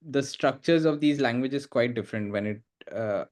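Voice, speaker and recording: A man gives advice in a measured, hesitant way, pausing on fillers and restarting words. His voice is at a plain middle pitch, and English is not his first language. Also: darkish tone. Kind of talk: advice in a panel discussion.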